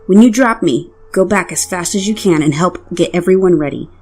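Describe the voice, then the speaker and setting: A woman's voice: lowish voice, brisk, darkish tone, breathy edge. A woman playing a bold, grimly determined dragon-riding warrior in an audio drama, giving terse, urgent orders. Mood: grim, insistent.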